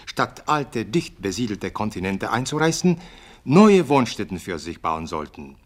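An actor playing a formal, emphatic radio newsreader reporting on a conference in a radio play. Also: male voice, on the low side, fairly bright tone. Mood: dramatic reporting. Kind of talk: scripted news bulletin within radio play.

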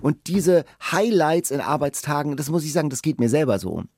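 A man's voice: fairly high pitch, expressive, fairly quick, darkish tone, no room sound, clean studio sound. A man chatting casually as a podcast co-host. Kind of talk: casual chat. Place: podcast studio.

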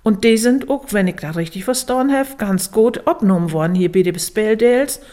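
A woman with a northern Low German accent, explaining informally as an expert in an interview. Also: lively intonation, brisk, mellow.

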